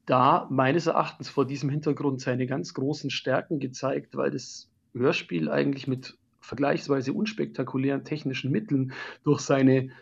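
A man's voice: medium pitch, steady tone, moderate pace, vocal fry, breathy edge. A man on a jury giving a considered, articulate interview answer in long explanatory sentences, in muffled sound. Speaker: jury member.

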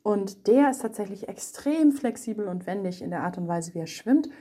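A woman's voice: medium pitch, animated, normal speed, dark tone. A woman speaking as an animal expert, explaining things spontaneously and with emphasis for a young audience. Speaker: animal expert being interviewed.

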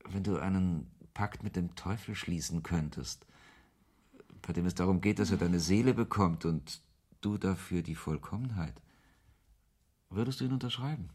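A man's voice: normal speed, a little mellow. An actor playing a brooding, ironic author who narrates his own story in a radio play, with a literary, sardonic manner. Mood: teasing. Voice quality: low, husky voice. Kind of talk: first-person radio play narration and dialogue.

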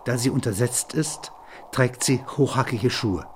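A man narrating literary and philosophical reflections in measured, deliberate phrases. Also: medium pitch, noisy background.

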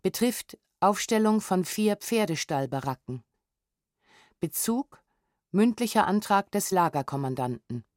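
A woman's voice: medium pitch, expressive, unhurried, a little mellow, dry recording, studio quality. A woman narrator giving a formal, factual reading of archival documents, restrained and precisely enunciated. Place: studio.

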